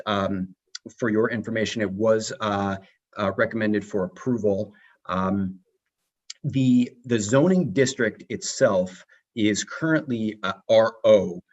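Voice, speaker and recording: A man briefing a city council in a formal meeting, pausing often with fillers as he explains. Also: on the low side. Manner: halting, many uh and um fillers. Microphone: remote video call. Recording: muffled video call audio.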